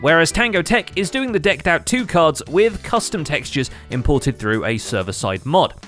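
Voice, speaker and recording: A man's voice: on the high side, sing-song, normal speed, fairly bright tone, soft background music. A man hosting a gaming recap show, reading scripted narration in a wry manner.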